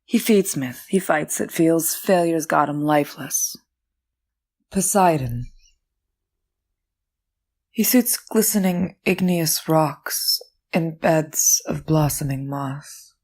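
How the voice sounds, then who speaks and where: Female poetry reader, deep register, unhurried and deliberate, phrase by phrase. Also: darkish tone. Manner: deliberate, short pausing phrases. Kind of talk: measured poetry recital.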